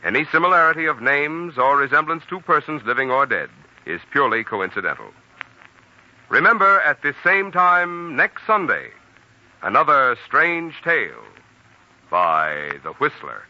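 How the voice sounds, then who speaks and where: Forceful 1940s male radio announcer. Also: a little high, very animated, moderate pace. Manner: formal, emphatic, carefully phrased. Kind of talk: 1940s sponsor announcement, scripted. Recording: dull old radio recording. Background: music.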